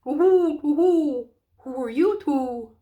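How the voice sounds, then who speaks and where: A woman voicing a big, fussy, sleepy male owl who hoots his words high and loud in a storybook reading. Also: slow, dull audio. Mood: fussy hooting. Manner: high hooting, loud and sing-song.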